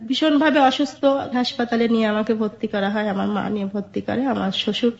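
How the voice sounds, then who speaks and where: A Bangladeshi woman tells her personal story to an interviewer. Her voice sits on the high side, and she narrates event by event, often quoting dialogue. The sound is a little muffled and lo-fi. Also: fairly even intonation, a little fast, vocal fry. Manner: recounts events with quoted dialogue. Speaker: guest telling own life story. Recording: dull lo-fi sound.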